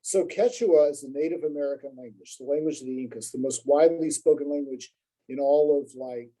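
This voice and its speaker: A man on a podcast talks loosely and at length, stopping and restarting with plenty of fillers. His voice sits in a very dark, mellow register. He pokes fun at himself as he goes. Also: on the high side, brisk, rough recording. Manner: rambling, self-deprecating, lots of fillers and restarts. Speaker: podcast guest. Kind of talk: casual conversational storytelling.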